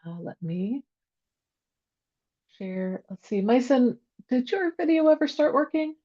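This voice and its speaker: Upbeat woman host, higher voice, mellow tone, introduces speakers on a muffled call. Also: very animated, measured pace. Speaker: event host. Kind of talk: hosting an online event. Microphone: video call. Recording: muffled distant call audio.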